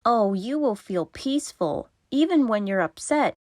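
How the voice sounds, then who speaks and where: A dainty lady mayfly, acted by a woman, speaks shyly and gently in a children's storybook. The voice floats up high and sounds dry and close. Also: lively intonation, a little slow, no room sound. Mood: shy, gentle. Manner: gentle, shy.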